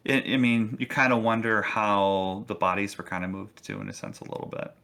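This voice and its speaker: Bright-voiced man running a tabletop game. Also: on the low side, brisk. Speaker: game master. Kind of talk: improvised tabletop game narration, casual.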